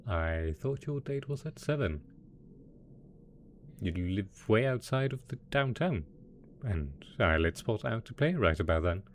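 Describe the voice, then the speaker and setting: Man acting a theatrical, low-voiced mad scientist boss. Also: expressive, a little slow, a little hiss or hum. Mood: feigned innocence. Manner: theatrical, playful, mock-innocent, chuckling. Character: gleeful mad scientist supervillain boss, male, smug, possessively doting. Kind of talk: scripted roleplay audio drama.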